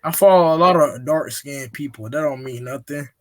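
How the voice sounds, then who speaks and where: A man's voice: on the high side, moderate pace, a crowd in the background. A man joking back and forth in livestream banter, playfully brushing off teasing. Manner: playful, jokes back at hecklers.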